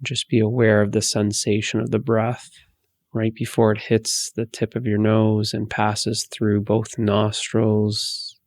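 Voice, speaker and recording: Guy doing a guided meditation, soft n soothing, lots of fry, super dry close sound, long pauses. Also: fairly low pitch, completely flat delivery, no room sound. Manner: soothing, hushed, unhurried instruction with long pauses.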